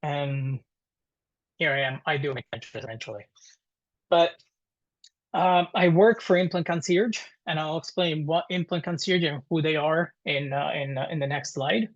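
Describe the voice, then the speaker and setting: A man in his thirties with an Italian accent, presenting informally to a webinar in a halting, conversational way. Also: a little high. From Italy. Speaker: guest presenter, dental technician. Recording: dull, muffled webinar audio.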